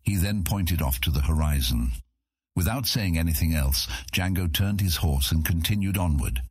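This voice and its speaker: A man narrating a scripted fantasy audiobook in a measured storytelling manner. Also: low voice, expressive, darkish tone, slightly rough, no echo.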